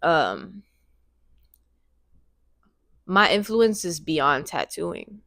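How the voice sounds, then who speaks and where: Woman in her twenties, low-ish voice, dry close sound, rambling excited vlog talk into a handheld mic. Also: drawn-out words, dry recording. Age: 20s. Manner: excited, rambling, repeats phrases. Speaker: vlogger. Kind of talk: casual vlog monologue. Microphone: handheld microphone.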